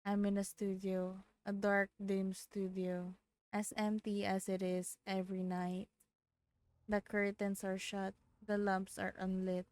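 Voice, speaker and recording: A woman game streamer performing a visual novel in a theatrical, expressive way. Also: mid-range voice, unhurried. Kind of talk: dramatic reading of visual novel narration with casual reactions. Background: faint game music.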